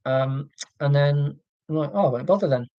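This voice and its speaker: Halting man with a monotonous voice. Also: a little high, no room sound, dull audio. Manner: rambling, halting, many ums and restarts, reads chat comments aloud; self-described monotonous voice. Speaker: YouTube live streamer reading chat. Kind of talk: casual live stream chat.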